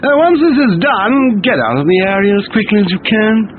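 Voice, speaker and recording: A formal military commander briefs an agent over a tinny radio. His voice is high and animated, through clear static noise. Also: brisk. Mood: urgent, formal. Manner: formal, instructing. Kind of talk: scripted mission briefing. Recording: tinny, noisy radio transmission.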